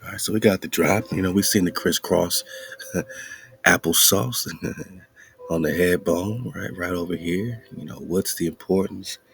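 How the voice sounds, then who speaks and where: A male internet radio host talks in a low, gravelly register with a husky edge. He comments on an article in a loose, preachy way and laughs between points. Faint music plays underneath. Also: measured pace, fairly bright tone. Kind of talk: casual commentary over a read-aloud article.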